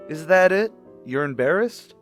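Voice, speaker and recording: An American actor playing an easygoing, skeptical husband in an old radio mystery, with a wry, bantering way of talking. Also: male voice, fairly high pitch, expressive, slow pace, a bit bright, soft background music. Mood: puzzled, exasperated. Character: teasing, easygoing, skeptical husband of an amateur sleuth. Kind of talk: mid-century radio drama acting.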